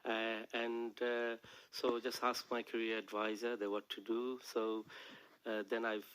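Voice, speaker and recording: A middle-aged man with a Bangladeshi accent, a native Bengali speaker, talking casually and haltingly as a guest in a radio studio interview. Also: mid-range voice, monotone, fairly bright tone, clear, resonant tone, low-quality recording. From Bangladesh and England. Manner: halting, hesitant, frequent "you know". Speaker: interview guest, charity worker and businessman.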